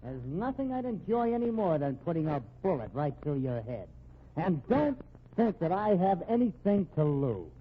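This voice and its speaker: A man's voice: on the high side, big pitch swings, normal speed. A man playing a bitter, menacing fugitive killer who talks in tough slang in an old-time radio crime drama. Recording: muffled, noisy old radio recording.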